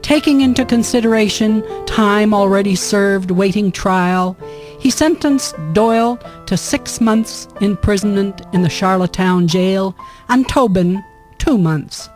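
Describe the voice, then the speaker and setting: Woman narrating over soft guitar music. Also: mid-range voice, a little slow. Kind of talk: scripted narration of historical radio drama.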